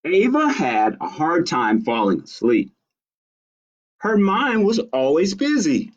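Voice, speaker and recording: A man reads picture books aloud for young children in an expressive storytime style. His pitch swings widely while his pace stays leisurely, and he adds small asides about the pictures. The recording is dull and lo-fi. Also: slow. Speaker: library storytime reader. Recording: dull lo-fi audio.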